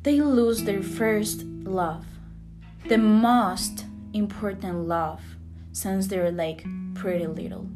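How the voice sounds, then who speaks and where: A woman's voice: medium pitch, slow, a little mellow. A woman from Rica, earnestly reading a scripted spoken piece. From Costa Rica. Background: guitar music.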